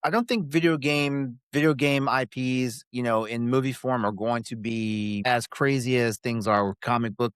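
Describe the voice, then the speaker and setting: A man with a mid-pitched voice chats casually as a podcast co-host. He is hesitant, circling back over phrases as he thinks. The recording is dry, with very little room sound. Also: smooth, no room sound. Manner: hesitant, repeats phrases as he forms thoughts.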